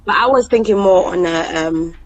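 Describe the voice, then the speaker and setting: A woman with a slightly hoarse voice co-hosting a casual live chat, candid and blunt, with fillers and small laughs. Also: on the low side, moderate pace, crowd noise. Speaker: co-host.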